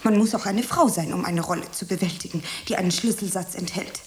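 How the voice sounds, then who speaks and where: An actress playing an earnest, self-important young beginner in the theatre, speaking dramatically in a German radio play. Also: female voice, lowish voice, fairly quick, close to an everyday voice. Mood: firm, self-important. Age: young adult. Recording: steady background hiss.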